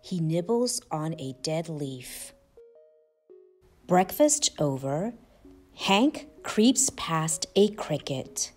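Female storyteller, lowish voice, unhurried and lively in pitch, children's read-aloud over soft music. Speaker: storyteller and channel host.

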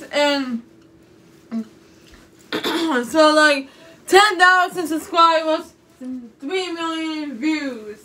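A woman's voice: high voice, a bit bright. A young woman vlogging casually from a small room into a propped-up phone, rambling with lots of fillers and a sore throat. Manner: rambling, repeats herself, many fillers. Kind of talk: casual rambling vlog to viewers. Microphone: phone camera propped nearby.

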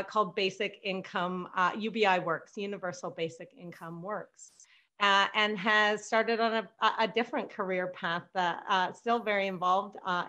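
A woman co-hosting a virtual town hall, introducing a guest informally in a halting, chatty way over a video call. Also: medium pitch, fairly bright tone, highs cut off. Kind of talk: informal spoken introduction and questioning.

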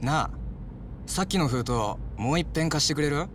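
A man's voice: on the high side, moderate pace, natural voice. Glib, sly cab driver acted by a man, noisy surroundings. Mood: chatty, friendly. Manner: casual, glib, informal speech. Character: chatty Japanese-descended taxi driver, a sly small-time robber. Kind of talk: Japanese video game cutscene acting.

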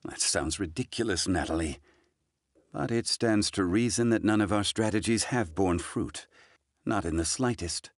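An actor playing an obsessive, wealthy supervillain in an animated game, speaking in a formal, theatrical, deliberate way. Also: male voice, fairly low pitch, no room sound, clean studio sound, natural voice. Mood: brooding. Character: obsessive, imperious, wealthy male supervillain with grand ambitions. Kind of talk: dramatic animated game cutscene acting.

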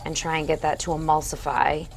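A woman's voice: low voice, monotone, a little fast, over a noisy crowd, rough recording. A young adult woman chef explaining a recipe step by step on a studio kitchen set. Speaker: guest chef. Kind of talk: cooking demonstration.

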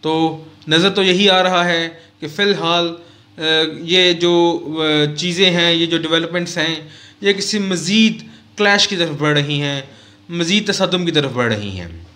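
Bright-voiced man giving news commentary. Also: a little high. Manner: measured, formal, with frequent "uh" pauses. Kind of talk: current-affairs commentary vlog. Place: small indoor studio set at a desk. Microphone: desk mic on a stand close to the mouth.

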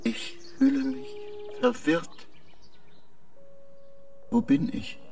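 A man acting as a high, bright-less, creaky male artificial mind from a sci-fi radio play. He is confused and dreamy, speaking slowly and haltingly. The voice sounds a little distant, with music behind it. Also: flat delivery, a little hiss or hum, exaggerated voice. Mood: confused, subdued. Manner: halting, short hesitant answers. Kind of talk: science fiction audio drama.

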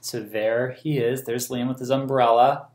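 An American man in his thirties reading a picture book aloud to children in a gentle, explanatory way. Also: medium pitch, steady tone, a little slow. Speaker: museum story time host. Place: small plain room indoors. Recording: roomy indoor echo.

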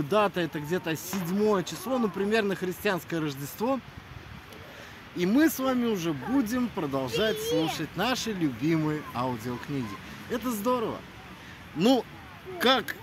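A man in his thirties, an audiobook narrator, talks casually and earnestly to his followers in an emphatic manner. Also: high voice. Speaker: audiobook narrator addressing listeners. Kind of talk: casual video greeting to followers. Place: outdoors in a snowy city square at night. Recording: noisy outdoor city square, traffic ambience.

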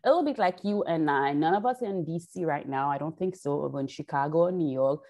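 A woman's voice: low voice, lively intonation, brisk, mellow. A woman in her forties from Haiti, a guest on a video call, explaining her work in an earnest storytelling way. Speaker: guest chocolate maker.

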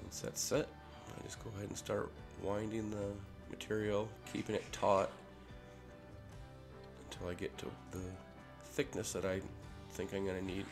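A man with a head cold, casually talking through a workshop ring build in a relaxed way with fillers. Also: lowish voice, moderate pace. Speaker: maker showing a ring build. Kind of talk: casual workshop tutorial voice-over. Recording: voice-over with clear music behind.

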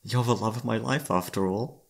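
A man's voice: on the low side, normal speed, creaky. A man playing an exhausted, affectionate young boyfriend in an intimate ASMR roleplay, speaking hesitantly with sighs. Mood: apologetic, loving. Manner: tired, sighing, hesitant, soft-hearted.

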